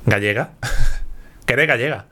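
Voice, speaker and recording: A man's voice: mid-range voice, slow, pushed and bright. A man from Málaga with a mild Andalusian accent, hosting a chatty live stream and explaining things with many asides. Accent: Andalusian Spanish, mild. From Málaga, southern Spain. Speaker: host of a live chat. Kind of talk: casual live-stream chat.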